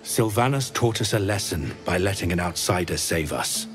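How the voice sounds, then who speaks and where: A man's voice: sits low, lively intonation, moderate pace. A man voicing a solemn, humbled beast in a fantasy video game. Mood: humbled, reflective. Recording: faint music behind.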